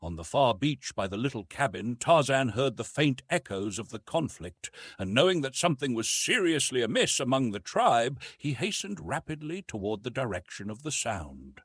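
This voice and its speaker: Male narrator for adventure audiobook. Expressive and theatrical, husky voice, very dry studio-like sound. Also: mid-range voice, moderate pace, hardly any pauses, no room sound. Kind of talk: dramatic scripted narration of an adventure novel.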